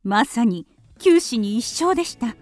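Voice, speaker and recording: A woman's voice: a little high, animated, unhurried, a little mellow. A woman voicing a refined female canary who politely tells her life story in a radio drama. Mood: dramatic. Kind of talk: Japanese radio drama narration.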